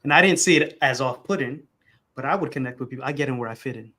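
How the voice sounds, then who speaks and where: A man's voice: mid-range voice, fast talker, bright, forceful tone. A man who grew up in America, a podcast guest speaking reflectively and conversationally, restarting his sentences often.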